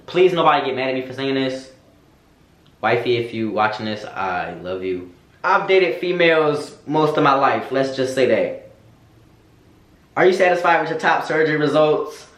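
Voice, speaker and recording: Young man, vlogger, sassy and candid, slang-heavy talk, mid pitch, recorded in a roomy echoey space. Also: a bit bright. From United States. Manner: sassy, candid, slangy, addresses viewers as girl. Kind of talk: casual Q&A chat to camera. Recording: echoey room.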